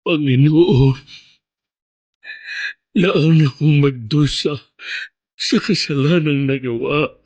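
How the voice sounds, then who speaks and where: Slow, pleading penitent. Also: male voice, on the high side, a little mellow, dry recording. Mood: anguished, pleading. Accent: Filipino. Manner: pleading, solemn. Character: remorseful sinner pleading with God in prayer. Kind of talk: Filipino radio drama acting. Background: instrumental music.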